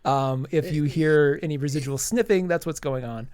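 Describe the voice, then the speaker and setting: A man with a fairly high voice talks through a comic review on a podcast, his nose stuffy from allergies. He rambles, restarting sentences and filling gaps with ums. The sound is dry and close. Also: normal speed, darkish tone, clean tone, dry recording. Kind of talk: casual review chat.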